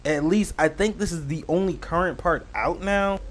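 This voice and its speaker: A man with a slightly high voice hosts a casual reaction video. He talks in loose slang and jokes his way through rambling asides. There is faint noise behind him. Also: normal speed.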